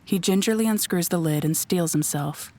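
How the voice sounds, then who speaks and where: A woman's voice: on the low side, moderate pace, darkish tone. A woman narrating a scripted audio drama in a descriptive storytelling manner.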